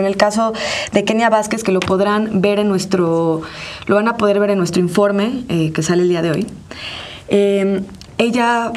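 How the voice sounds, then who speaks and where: A woman with a Mexican accent co-hosting a conversational interview, pausing as she searches for words. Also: mid-range voice, a little mellow, a little hiss or hum. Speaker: co-host.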